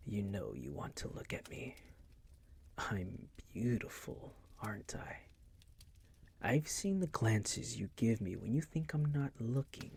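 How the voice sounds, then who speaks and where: A man playing a seductive, possessive vampire master in an intimate roleplay audio, teasing and coaxing in a breathy, husky voice that often drops to a whisper. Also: lowish voice, sing-song, a little mellow, a little hiss or hum, full character voice. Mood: commanding, hypnotic. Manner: slow, teasing, condescending coaxing.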